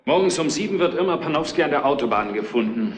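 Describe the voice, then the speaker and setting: An actor playing a stern, methodical police investigator in a retro crime drama, matter-of-fact and firm, in an echoey, slightly noisy old recording. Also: male voice, fairly high pitch, lively intonation, fast talker, close to an everyday voice. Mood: stern, factual.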